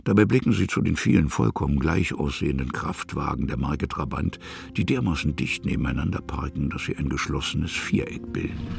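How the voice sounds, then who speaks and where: Very deep, gravelly male narrator. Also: expressive, brisk. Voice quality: gravelly, husky. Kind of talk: literary scripted narration.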